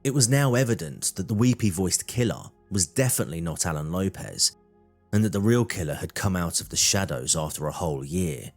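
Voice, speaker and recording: A man narrating a true crime story from a script, in a measured documentary style. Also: low voice, lively intonation, soft background music.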